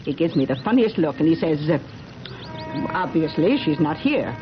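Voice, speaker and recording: An actress playing a determined, chatty middle-aged small-town busybody in a 1970s radio drama. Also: female voice, low-pitched, sing-song, normal speed, over music. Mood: insistent, suspicious. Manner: chatty, insistent. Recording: muffled, noisy vintage radio broadcast.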